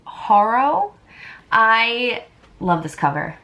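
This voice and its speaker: A woman's voice: a little high, sing-song, drawn-out words, bright, forceful tone, rough recording. A woman chatting casually and enthusiastically to viewers as a book vlogger.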